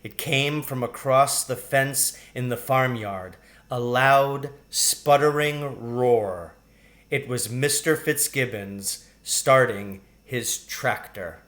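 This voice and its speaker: A man narrating a children's storybook in a deliberate, expressive way. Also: medium pitch. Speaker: audiobook reader.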